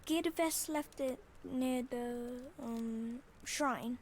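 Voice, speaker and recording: A woman's voice: sits high, darkish tone, slight background noise, full character voice. A woman playing an earnest, worried child who speaks hesitant, in a video game. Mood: sad, worried. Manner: hesitant, halting. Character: worried young child, earnest, minding a shrine alone. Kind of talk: scripted game dialogue.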